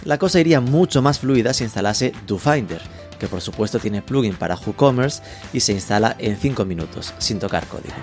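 A man hosting an interview podcast with a steady, scripted delivery. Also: fairly low pitch, a little fast, soft background music. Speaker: podcast host.

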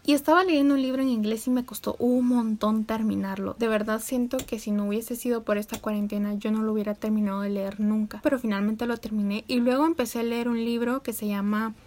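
A woman's voice: a little high, fairly even intonation, brisk, hardly any pauses, darkish tone. A young woman, chatting casually and upbeat to her viewers at home. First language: Spanish. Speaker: vlogger. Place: home room with neighbors' animals outside.

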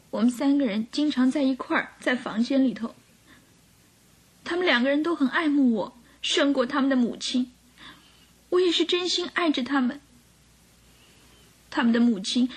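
An actress playing a gentle, sorrowful young governess, earnest and emotional, in a radio drama. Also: female voice, high-pitched, slightly rough. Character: gentle, sorrowful young governess under suspicion, pleading tearfully.

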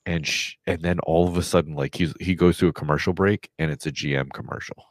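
A man's voice: a bass voice, fairly even intonation, fast, no room sound. A man in his forties in casual podcast chat, rambling with lots of fillers and restarts. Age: 40s.

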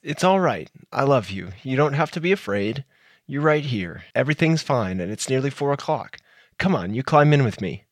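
An actor playing a calm husband waking his wife from a nightmare in an old radio drama, gentle and soothing. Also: fairly high pitch, unhurried, slightly rough, no echo, studio quality, heavily acted. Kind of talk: 1950s radio drama acting.

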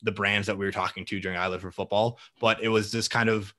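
Fast, bright-voiced confident young man. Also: fairly low pitch. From Minnesota. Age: young adult. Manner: confident, fluent, energetic talker. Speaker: guest, marketing entrepreneur. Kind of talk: podcast interview answers.

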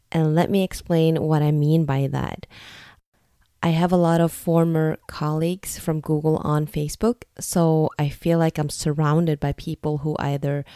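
A woman's voice: low-pitched, mellow, clear, resonant tone, no echo, clean studio sound. A young woman from Norway telling a personal story in a candid, chatty, reflective way. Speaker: vlogger.

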